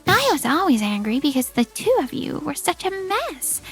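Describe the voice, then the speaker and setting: A resolute, exasperated mother as a woman voice performer plays her scolds indignantly in a manga dub. Her voice is high and stern, with music faintly underneath. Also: big pitch swings, normal speed, fairly bright tone.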